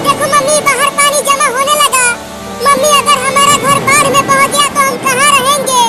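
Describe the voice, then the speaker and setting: A performer voicing a frightened, whiny little child in a Hindi children's cartoon, with a tiny pitched-up voice. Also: flat delivery, a little fast, loud music behind the voice. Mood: scared.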